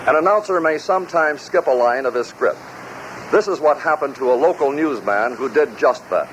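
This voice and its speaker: A man's voice: mid-range voice, lively intonation, moderate pace, fairly bright tone, slight background noise, rough recording. A man narrating a vintage radio compilation from a script. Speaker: narrator of a radio bloopers collection.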